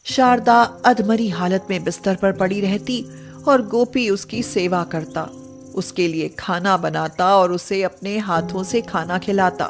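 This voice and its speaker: A woman narrating a scripted story in an expressive, dramatic way. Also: medium pitch, unhurried, faint music behind.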